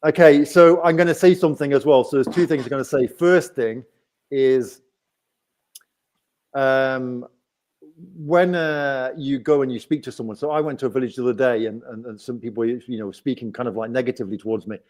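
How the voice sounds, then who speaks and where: A man's voice: medium pitch, steady tone, moderate pace, a little mellow. A man with an English accent hosting a casual live-stream chat, explaining things at length with frequent restarts. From England. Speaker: host.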